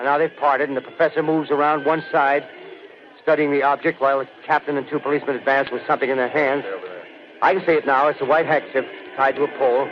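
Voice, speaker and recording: A man's voice: mid-range voice, close to an everyday voice. Man playing a shaken radio field reporter, noisy old broadcast. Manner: halting, breathless, searching for words. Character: horrified male radio field reporter eyewitnessing monsters. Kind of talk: 1930s radio drama live-report acting. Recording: noisy, dull 1930s radio recording.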